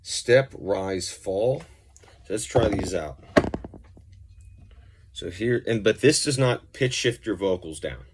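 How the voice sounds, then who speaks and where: A man with a low-pitched voice talks through gear in a loose, informal way. He sounds like a musician doing an unscripted demo, rambling as he goes. There is some light background noise with occasional clicking. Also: expressive, slow pace, pauses often. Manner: informal, rambling, mildly profane. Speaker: musician demonstrating effects pedals. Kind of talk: casual gear review, off-the-cuff demonstration.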